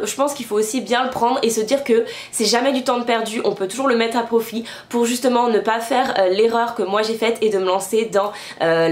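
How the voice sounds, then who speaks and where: A young woman in her twenties chatting casually to her viewers in a vlog, a bit stuffy from a cold, rambling with lots of fillers. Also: fairly high pitch. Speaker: beauty YouTuber. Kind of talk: casual vlog chat to camera. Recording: echoey room.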